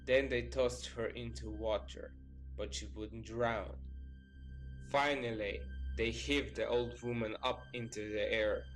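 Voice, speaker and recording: A man's voice: mid-range voice, steady tone, measured pace, rough recording. A man reading scripted, documentary-style narration as a storyteller. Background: background music.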